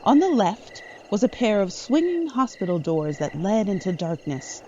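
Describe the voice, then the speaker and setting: A woman's voice: mid-range voice, sing-song, moderate pace, dark tone. A woman narrating a horror audio drama from a script. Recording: slightly dull audio, faint noise. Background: night crickets and frogs.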